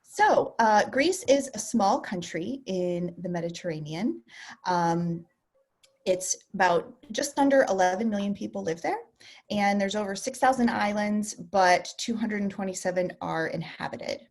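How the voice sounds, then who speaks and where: A chatty woman with a middle-pitched voice gives a relaxed talk over a video call. She fills her sentences with ums, restarts her thoughts, and keeps things friendly and informal. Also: measured pace. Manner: chatty, lots of ums and restarts, laughs easily. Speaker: travel company co-founder presenting. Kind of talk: casual virtual presentation.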